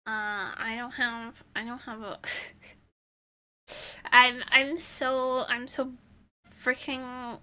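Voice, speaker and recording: A woman chats casually to livestream viewers in a high, piercingly bright voice that is strained from screaming. She takes her time, looping back over phrases with a playful, theatrical air. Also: little pitch movement, extremely slow, long pauses. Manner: rambling, repeats phrases. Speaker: VTuber livestream host.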